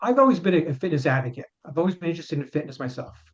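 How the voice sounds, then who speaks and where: Earnest chiropractor with muffled lo-fi sound. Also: male voice, a little high, big pitch swings, very fast. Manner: earnest, repeats words often while explaining. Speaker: chiropractor and author, guest. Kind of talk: casual interview answers. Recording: dull lo-fi sound.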